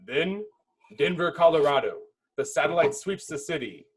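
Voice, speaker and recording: A man's voice: fairly high pitch, lively intonation, normal speed, breathy edge, low-quality recording. A man giving dramatic scripted narration, reading a film script's stage directions.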